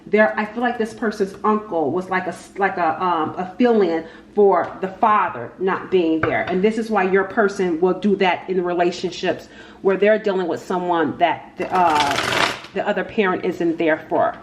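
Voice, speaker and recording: Female tarot host, informal and improvised, repeats herself while reading cards; roomy sound with slight background noise. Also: lowish voice. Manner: halting, repeats phrases, talks to spirit and cards. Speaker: tarot reader, channel host. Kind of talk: casual tarot card reading, unscripted. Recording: echoey room with faint background noise.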